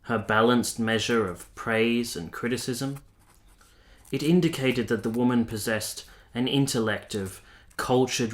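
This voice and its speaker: A man's voice: fairly low pitch, lightly acted. A man playing a courteous, smitten army captain from the 1870s in a radio drama, speaking politely and formally. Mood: smitten, reflective. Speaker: actor and narrator in a radio play. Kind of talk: radio drama acting, partly first-person narration.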